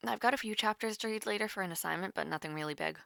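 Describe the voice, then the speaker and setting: A woman's voice: mid-range voice, very fast, creaky, pushed and bright, dry recording, studio quality. A young woman playing a nervous, rambling college student chatting casually with her online crush in an audio roleplay. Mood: shy, casual. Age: young adult. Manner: awkward, rambling, hesitant with many fillers. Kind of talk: naturalistic romantic audio roleplay, casual one-sided call.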